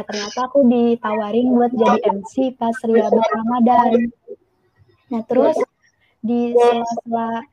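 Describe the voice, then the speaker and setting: A teenage schoolgirl with an Indonesian accent answering interview questions in casual chat, on a muffled recording. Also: fairly high pitch. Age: teenage student. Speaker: student interviewee. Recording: muffled lo-fi audio.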